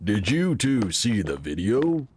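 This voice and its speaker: Slow, expressive male company founder, played by an actor. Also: lowish voice, slight background noise. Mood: welcoming. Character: affable, enigmatic male founder of secretive tech company. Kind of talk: full-cast audio drama acting.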